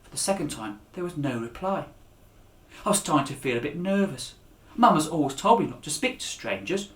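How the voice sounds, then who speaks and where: A man reading a children's story aloud as its author, with a dramatic, expressive delivery that rises and drops to a hush. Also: fairly high pitch, brisk. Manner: dramatic, with raised voices for dialogue and hushed endings. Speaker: author reading his own children's book. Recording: echoey room.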